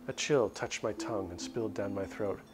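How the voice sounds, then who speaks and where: A man's voice: fairly low pitch, fairly quick, noisy background. A man streaming a visual novel, reading the story aloud dramatically and adding casual commentary.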